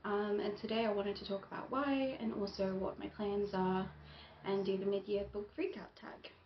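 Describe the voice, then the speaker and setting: A young woman chatting casually on her book vlog, with plenty of ums and soft laughs. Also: mid-range voice, steady tone, moderate pace. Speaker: book YouTuber. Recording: echoey room, dull lo-fi sound, faint hiss.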